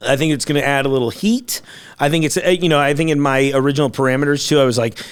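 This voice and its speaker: A man's voice: mid-range voice, quick pace, smooth. A man hosting a food podcast in casual, upbeat chat, laughing often. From United States. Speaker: podcast host.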